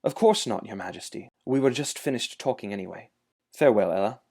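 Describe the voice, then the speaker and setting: A man's voice: on the low side, expressive, a little fast, vocal fry, darkish tone, slightly rough, exaggerated voice. A man playing a polite, concerned knight in a fairytale audio drama. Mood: concerned, courteous. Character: polite, concerned knight, loyal friend to the heroine.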